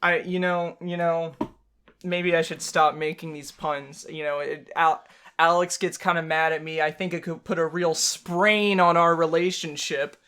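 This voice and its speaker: Male gaming co-host with a high voice and slow pace, playful, pun-happy, casual. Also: fairly even intonation, bright, forceful tone, smooth. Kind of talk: casual joking commentary.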